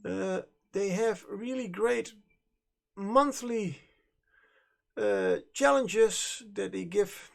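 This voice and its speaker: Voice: medium pitch, very slow, vocal fry, pauses often. A streamer with a Dutch accent, a native Dutch speaker, chatting casually while painting, in a hesitant, rambling way with frequent self-corrections. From Netherlands. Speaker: livestream host and miniature painter.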